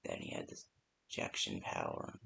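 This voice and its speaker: A man's voice: moderate pace, sharp tone, slight background noise. A man giving casual, improvised phone-call voice lines for a game, hesitant and rambling with many ums. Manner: casual, unpolished delivery. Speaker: phone-call guide in a fan horror game.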